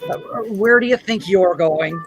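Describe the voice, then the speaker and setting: A man's voice: high voice, unhurried, music in the background. An actor playing a frantic, bitter family man in a radio play, volatile and agitated. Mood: subdued. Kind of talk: old-fashioned radio play acting.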